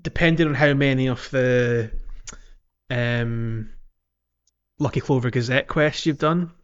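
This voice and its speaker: Casting: male podcast co-host for casual game chat, rambling and understated, mid-range pitch. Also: takes it slowly, clean tone, lo-fi sound. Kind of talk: casual video game discussion.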